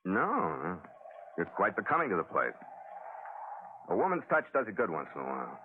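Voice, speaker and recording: An actor playing a tough, laconic sea captain narrating in a clipped, hard-boiled 1940s radio adventure style. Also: male voice, deep voice, wildly swooping pitch, moderate pace, slight background noise. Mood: dry, guarded. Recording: tinny old 1940s radio recording, music behind.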